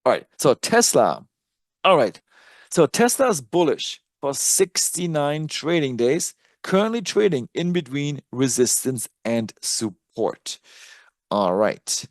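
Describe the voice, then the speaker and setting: A man's voice: medium pitch, sing-song, takes it slowly, no room sound. German man thinking aloud, dry close sound. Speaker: trading analyst and presenter. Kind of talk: live stock chart commentary, casual.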